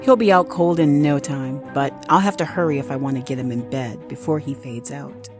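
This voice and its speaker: A woman's voice: extremely low pitch, moderate pace, music in the background. A woman playing a nurse in an audio drama, narrating theatrically in the first person, soothing on the surface and vengeful underneath. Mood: cold, brooding. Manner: theatrical, swinging between cooing reassurance and private contempt. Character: vengeful nurse, sweetly soothing outside, cold and bitter within.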